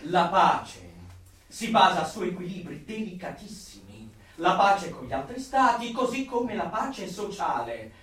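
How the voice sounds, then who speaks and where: An actor in a radio drama playing a fanatical, grandiose party intellectual who declaims emphatically and swings into exalted outbursts. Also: male voice, high-pitched, sing-song, unhurried, fairly bright tone, distant mic, exaggerated voice. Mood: solemn. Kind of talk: theatrical radio drama acting. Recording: very echoey room, off the mic.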